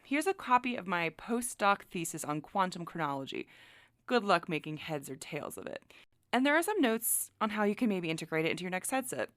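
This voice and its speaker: A woman's voice: fairly low pitch, sing-song, a little fast, fairly bright tone, dry recording, studio quality. An actress playing a friendly woman scientist reading her letter aloud in an audio drama, casual in manner. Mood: friendly, wry.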